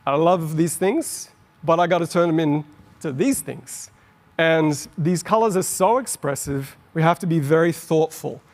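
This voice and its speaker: A middle-aged Australian man giving an enthusiastic, explanatory conference talk on stage. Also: a little high. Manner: explanatory, enthusiastic, quickens on asides. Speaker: conference presenter. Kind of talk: keynote presentation. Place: large conference hall on stage. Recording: long hall reverb.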